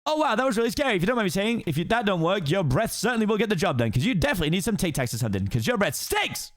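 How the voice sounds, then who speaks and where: A man voicing an excitable, fast-talking male donkey who chatters nonstop. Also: high-pitched, no echo, studio quality, voice pushed up. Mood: chatty.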